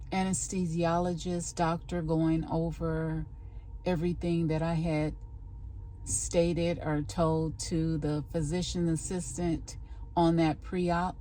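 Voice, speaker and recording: A woman's voice: lowish voice, dark tone, slight background noise. A woman vlogger chatting casually to the camera in a rambling, scattered way, recorded on a handheld phone.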